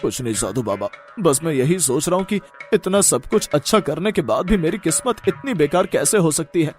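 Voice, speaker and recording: A man's voice: on the high side, animated, brisk, darkish tone, faint music behind, close to an everyday voice. A man voicing a humble, honest, downcast poor street sweet seller in a moral-story cartoon, pleading and earnest. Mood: despairing.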